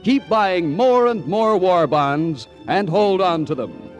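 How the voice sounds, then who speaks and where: A man's voice: high voice, expressive, slow, faint music behind. A man with an American accent delivering polished, emphatic 1940s radio announcements and sponsor messages.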